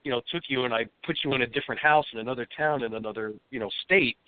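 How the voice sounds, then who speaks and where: A man with a cold and a slightly raspy voice, a self-defense instructor explaining things steadily as a guest on a radio show. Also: mid-range voice, racing, no echo. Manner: steady, explanatory, with many fillers. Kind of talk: radio interview. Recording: tinny phone-line audio, dry.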